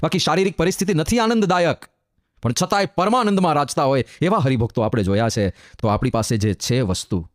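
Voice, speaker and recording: A man giving a devotional sermon as a monk, chanting verses slowly and explaining them with emphatic, rising repetition. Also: fairly high pitch, no echo, studio quality.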